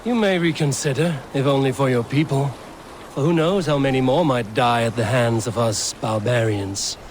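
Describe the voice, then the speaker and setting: An actor playing a shrewd, bold Viking raider in a video game, with a terse, sardonic delivery. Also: male voice, mid-range voice, normal speed, background noise, lightly acted. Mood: threatening. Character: shrewd, dry-witted male Viking raider, bold and unyielding. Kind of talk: dramatic video game cutscene acting. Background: music.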